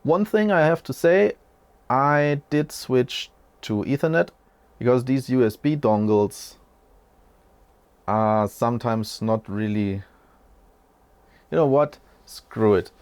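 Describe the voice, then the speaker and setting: A man in his forties talks through a tech setup at an unhurried pace, his voice gravelly with a touch of fry. He rambles in a chatty way and often stops to correct himself. He sounds like he is sitting at a desk in a small room at home, a short way from the mic. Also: mid-range voice, a little mellow, no room sound. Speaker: tech channel host. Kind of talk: unscripted casual tech walkthrough.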